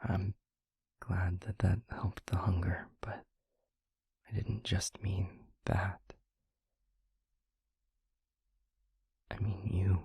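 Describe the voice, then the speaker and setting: A man acts out a protective vampire boyfriend in an intimate audio roleplay. His voice is low and very breathy, with gravelly creak at the edges. He speaks softly and reassuringly, leaving long, hesitant pauses. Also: flat delivery, dry recording, heavily acted. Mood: concerned, tender. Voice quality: breathy, husky, half-whispered. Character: gentle, protective young male vampire maker and boyfriend.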